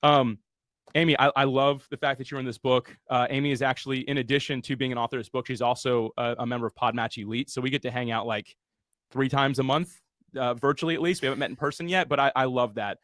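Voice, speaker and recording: A man's voice: mid-range voice, steady tone, quick pace, a bit bright, dry recording, studio quality. A man hosting a webinar launch party, speaking earnestly and motivationally with casual fillers. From USA. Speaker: host.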